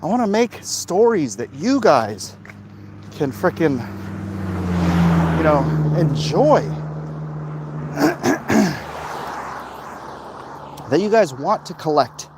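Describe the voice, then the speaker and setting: A middle-aged man talking casually and haltingly to his viewers in a monologue recorded while out walking. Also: fairly high pitch, sing-song, heavy background noise. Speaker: comic book creator vlogging. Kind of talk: casual walk-and-talk monologue. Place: outdoors, walking along a hilly route.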